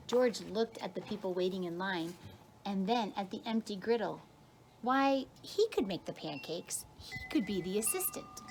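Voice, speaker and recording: Expressive woman reading a picture book. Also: mid-range voice, slight background noise. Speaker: kindergarten teacher reading aloud. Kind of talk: expressive children's picture-book read-aloud.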